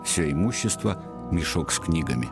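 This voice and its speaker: A man's voice: rumbling low, animated, normal speed. A man reading scripted radio drama narration in a formal, declarative way. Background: music.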